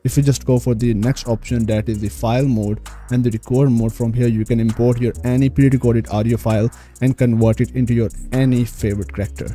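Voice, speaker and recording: A man's voice: medium pitch, steady tone, a little fast, hardly any pauses, warm tone, soft background music. A man narrating a screen tutorial as host, repeatedly addressing viewers directly. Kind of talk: screen-recorded tutorial voice-over.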